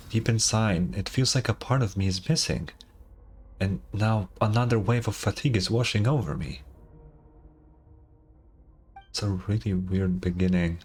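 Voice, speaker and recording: Low-voiced man streaming a game. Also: darkish tone, slightly rough. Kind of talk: casual live game commentary, reading dialogue aloud. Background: game music.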